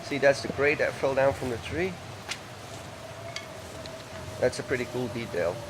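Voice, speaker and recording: A man's voice: mid-range voice, animated, unhurried, background noise. A man casually commenting on his game as he plays, thinking aloud and reading text from the screen. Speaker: let's play gamer.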